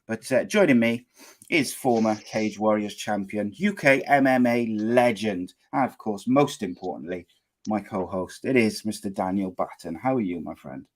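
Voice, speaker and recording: A man with a lowish voice hosts a live podcast. He talks in a relaxed, rambling way, full of "uh"s and restarts. He sounds like a friendly regular running the show. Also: moderate pace. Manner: chatty, loose, many fillers and restarts. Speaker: podcast host. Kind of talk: live show hosting and interviewing.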